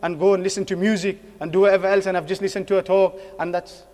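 High-pitched male preacher in an echoing hall. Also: little pitch movement, fast talker, a little mellow. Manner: earnest, exhorting with repeated phrases and rhetorical questions. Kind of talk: sermon. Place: mosque hall. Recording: long echoing hall reverb.

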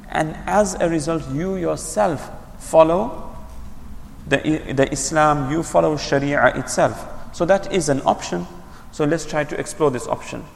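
A man with a gravelly, somewhat high voice gives a religious lesson. He explains patiently, poses his own questions and answers them, and repeats his key points. His voice rings with a long reverb, as if in a large hall. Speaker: Islamic teacher. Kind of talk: religious lecture.

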